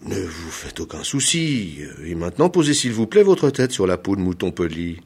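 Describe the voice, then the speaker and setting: A man's voice: low-pitched, sing-song, moderate pace, darkish tone, breathy edge, heavily acted. A man voicing a sly, unflappable tradesman in a folk tale, politely deferential yet a little impatient as he explains. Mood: calmly assured. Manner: polite, patient explaining with hesitant ers and mild impatience. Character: sly, unflappable itinerant hair-planting tradesman, falsely deferential.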